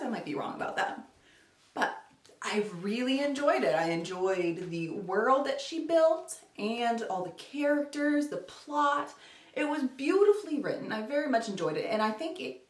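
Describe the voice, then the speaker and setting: High, animated woman in an echoey room. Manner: chatty, informal, self-deprecating. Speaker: book vlogger. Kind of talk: casual chat to camera. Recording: roomy echo, distant microphone.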